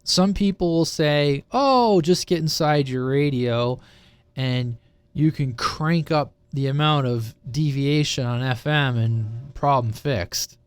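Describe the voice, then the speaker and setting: A man's voice: medium pitch, slow pace, smooth, no echo. A man giving a casual, unscripted technical explanation in an informal, rambling way, circling back to repeat his main point. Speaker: presenter explaining radio technology. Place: indoors at a computer, sharing his screen.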